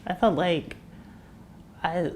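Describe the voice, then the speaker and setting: A guest assigned male at birth, with a high-pitched voice, answering an interview in a hesitant way full of fillers. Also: slow pace, slight background noise. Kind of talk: candid conversational interview answer.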